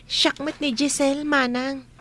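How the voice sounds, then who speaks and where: A woman's voice: high-pitched, flat delivery, a little slow, bright, forceful tone, slight background noise. A woman playing a polite, meek young country housemaid in an Ilocano radio drama, modest and deferential. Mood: modest, friendly. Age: young adult.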